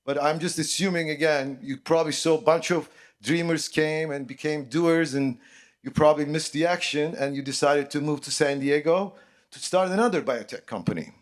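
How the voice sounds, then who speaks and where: Middle-aged man hosting a stage fireside chat. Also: a little high. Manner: warm but halting, with fillers and restarts. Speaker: host. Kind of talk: stage introduction. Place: large auditorium stage, seated.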